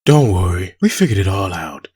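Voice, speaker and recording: A man playing a teasing, wisecracking groom in a comic dub, with a husky voice and joking manner. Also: low voice, big pitch swings, normal speed, a little mellow, heavily acted. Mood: teasing, cheeky. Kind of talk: comic dub character acting.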